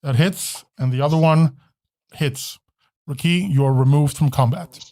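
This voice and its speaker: A man narrates a tabletop game as its game master. His voice sits a little high and the sound is fairly dry. He feels his way through rulings, often stopping to correct himself. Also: darkish tone, dry recording. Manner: halting, self-correcting.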